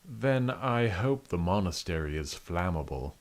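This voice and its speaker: A man plays a confident, villainous Duke in an audio drama. His voice is very deep and moves at a deliberate, unhurried pace. The delivery is condescending and menacing, with lively rises and falls. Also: heavily acted. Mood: coldly menacing. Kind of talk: dramatized audio story acting.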